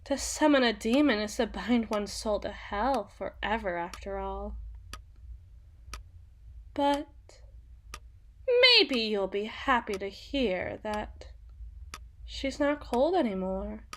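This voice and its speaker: A woman playing a sadistic, teasing female demon in an ASMR roleplay monologue, with theatrical, taunting and deliberate phrasing. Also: mid-range voice, lively intonation, fairly bright tone, a little hiss or hum. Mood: smug, menacing.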